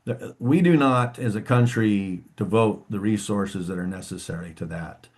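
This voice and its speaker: Halting, reflective man with a lowish voice. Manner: halting and reflective, many restarts and "you know"s. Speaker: musician guest. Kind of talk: interview conversation.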